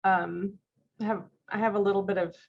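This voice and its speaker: A woman's voice: mid-range voice, steady tone, brisk, vocal fry. Congested woman giving a webinar demo. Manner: friendly and explanatory, with frequent ums and restarts. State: congested from a cold, slight cough. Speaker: company vice president presenting a software webinar. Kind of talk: live product demo webinar. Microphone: video call mic. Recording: dull lo-fi video call audio.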